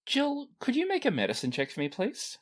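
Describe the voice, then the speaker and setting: A game master improvising casual, descriptive narration for a tabletop game, pausing for effect. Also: mid-range voice. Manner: animated, descriptive, pauses for effect.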